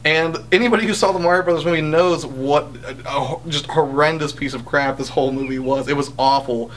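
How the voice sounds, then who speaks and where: Young guy podcast host, talks really fast, kinda high voice, ranting wryly, noisy background. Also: a bit bright. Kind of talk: casual chat, opinionated film and game review.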